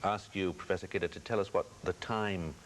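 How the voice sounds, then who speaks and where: A man's voice: low voice, fairly even intonation, fairly quick. A man from the UK co-hosting a radio interview, jovial and self-deprecating. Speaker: co-host. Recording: faint hiss.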